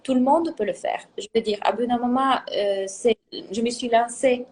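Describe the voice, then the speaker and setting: Earnest non-native woman coach, slightly high. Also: normal speed, darkish tone. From Italian family. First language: not French. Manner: earnest, emphatic, repeats for stress. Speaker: guest speaker, coach. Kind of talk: casual talk, motivational.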